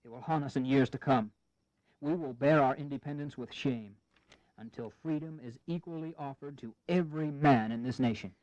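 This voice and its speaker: A man's voice: medium pitch, normal speed, a little mellow, no echo, lo-fi sound, close to an everyday voice. An actor playing a principled, impassioned colonial statesman in a historical audio drama, with earnest, formal oratory. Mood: grave.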